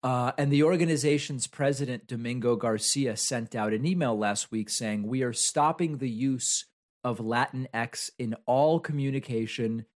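A man's voice: medium pitch, moderate pace. A man from Argentina, a native Spanish speaker, hosting a solo political commentary show. He talks conversationally and argues with objections he raises himself.

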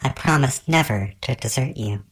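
A tiny female fairy spirit from a storybook, voiced by a man in a very high, sweet little voice. She is cheerful and coaxing, with an arch lilt, and the sound is roomy and echoing. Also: animated, moderate pace, natural voice. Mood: reassuring. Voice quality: small, sweet voice.

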